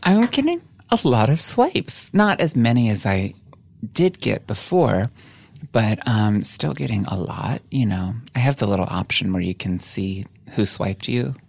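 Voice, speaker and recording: Podcast guest: woman with a deep, gravelly voice, frank and wry, dry studio sound. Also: sing-song, measured pace, a little mellow, no echo. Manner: frank, wry storytelling with laughs. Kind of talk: candid casual chat.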